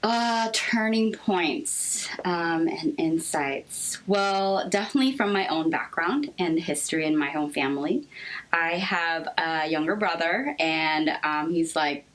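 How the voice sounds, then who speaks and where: A young woman from Seattle, a therapist answering interview questions earnestly, with frequent ums and pauses. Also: low voice, fairly bright tone, poor audio. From Seattle, Washington. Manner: hesitant, many ums, earnest. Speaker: guest therapist.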